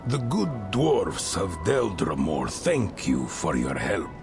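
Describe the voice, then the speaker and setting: Hospitable dwarf monarch, very low gravelly voice, slow, emphatic, game cutscene. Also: male voice, big pitch swings, exaggerated voice. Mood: stately, grateful. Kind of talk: video game cutscene acting. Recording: loud music behind. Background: loud music.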